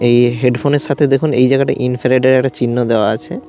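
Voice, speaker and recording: A man's voice: mid-range voice, steady tone, rapid-fire, mellow, slight background noise. A man giving a hands-on product demonstration as a vlogger, explaining step by step in short phrases. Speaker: vlogger reviewing gear.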